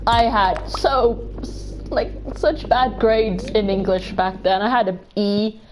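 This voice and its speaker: Slow, rambling young woman. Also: mid-range voice, noisy background. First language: Welsh. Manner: rambling, full of "like" and restarts, giggly. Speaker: streamer. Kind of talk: casual chat while playing a game. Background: game music.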